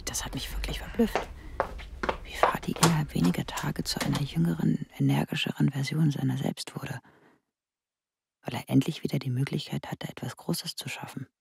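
A young woman confides her thoughts in a radio play, wry and unsure of life. Her voice has noticeable creak and sits close, like private thinking. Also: deep voice, steady tone, moderate pace, whispered, full character voice. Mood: reflective. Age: young adult. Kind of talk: radio play acting, intimate inner monologue.

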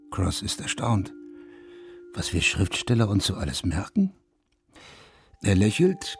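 A man's voice: lowish voice, a little mellow, close to an everyday voice. An actor playing a reflective, haunted war veteran and writer in his forties, narrating intimately in a radio drama. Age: middle-aged. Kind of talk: German radio drama first-person narration. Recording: studio radio drama over guitar music.

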